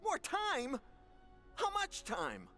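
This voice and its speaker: An actress playing a haughty, ruthless council official in a video game, imperious and cutting. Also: female voice, sits high, huge pitch swings, extremely slow, pushed and bright, faint music behind, full character voice. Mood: scornful. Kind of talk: video game cutscene acting.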